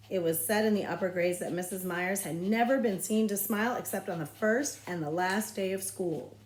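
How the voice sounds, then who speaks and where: A woman reading a children's novel aloud as a librarian, with expressive, measured storytelling phrasing. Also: fairly low pitch, echoey room, poor audio.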